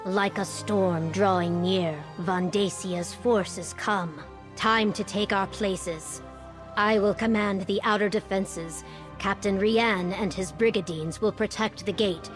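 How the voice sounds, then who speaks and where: A woman playing a solemn female military commander in a video game, formal and authoritative in a measured way. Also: mid-range voice, fairly even intonation, music in the background, lightly acted. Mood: grave, steady. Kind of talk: video game character acting.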